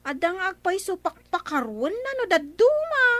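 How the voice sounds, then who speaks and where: A woman's voice: extremely high pitch, sing-song, moderate pace, a bit bright, no echo, full character voice. An actress playing a sassy, blunt village woman in an Ilocano radio comedy drama. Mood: gossipy. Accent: Filipino, Ilocano.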